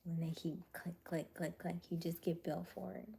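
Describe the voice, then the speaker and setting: A young adult woman hosting a casual livestream, chatting with viewers in a chatty way with frequent laughs and soft, whispery stretches. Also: lowish voice, level tone, normal speed, gravelly, slight background noise. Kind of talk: casual live chat reading viewer comments.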